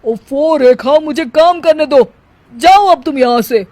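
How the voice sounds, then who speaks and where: A woman's voice: high-pitched, measured pace, darkish tone. A woman voicing a busy middle-aged husband, brusque and exclamatory, easily irritated or worried, in a family story.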